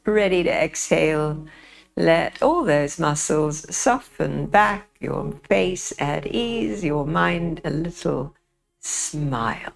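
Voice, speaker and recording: A woman leads a guided meditation at a very slow pace, leaving space between phrases. Her voice is on the low side with a creaky, gravelly edge. She instructs gently and soothingly, as a yoga nidra teacher. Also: animated. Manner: soothing, gentle, unhurried guiding with pauses.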